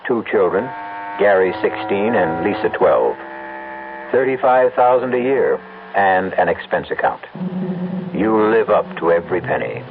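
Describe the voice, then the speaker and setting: A man's voice: on the low side, noisy background. A man narrating and hosting a 1970s radio mystery drama in a theatrical, ominous way. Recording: tinny lo-fi old radio broadcast.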